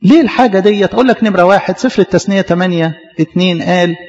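Fast-talking Egyptian preacher in a hall. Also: male voice, high voice, a little mellow, highs cut off. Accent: Egyptian Arabic. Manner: questioning, repetitive. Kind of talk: sermon.

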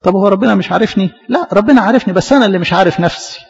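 A man's voice: sits high, racing, creaky, in a large hall, dull audio, soft background music. A man preaching a sermon in an Egyptian Arabic accent, often asking questions and answering them himself. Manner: questioning, repetitive.

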